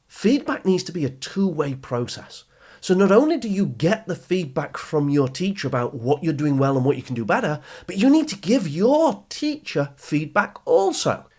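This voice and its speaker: A man's voice: on the high side, a little mellow, husky. A man hosting a motivational podcast for teenagers, speaking in an upbeat, emphatic coaching manner and addressing the listener directly. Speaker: podcast host.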